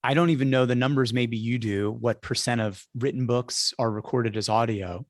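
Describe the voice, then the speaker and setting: A man's voice: medium pitch, fairly even intonation, moderate pace, dry recording, studio quality. A man hosting an interview in a casual, conversational style, telling anecdotes and putting questions to guests. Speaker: interview host.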